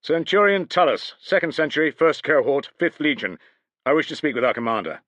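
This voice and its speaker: Gruff Roman centurion, put on by a male narrator, loud and sharp. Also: fairly high pitch, expressive, fairly quick, no room sound, pitched above the performer's own voice. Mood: curt. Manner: snarling, shouting at parade ground volume.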